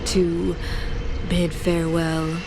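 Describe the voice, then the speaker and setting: A fanatical game villain, a cold ruler who speaks in slow, grandiose pronouncements. Her delivery is imperious and theatrical, with clear ambient noise beneath it. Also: fairly low pitch, completely flat delivery. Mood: solemn, controlled. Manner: theatrical, imperious, deliberate oratory. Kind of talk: dramatic video game voice acting.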